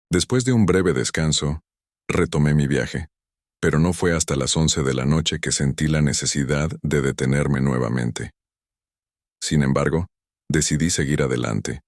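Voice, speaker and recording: A man reads spooky first-person tales in an extremely deep voice. He keeps a steady, measured pace throughout. The sound is dry and close, with no room around it. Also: no room sound. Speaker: narrator. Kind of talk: scripted first-person horror narration.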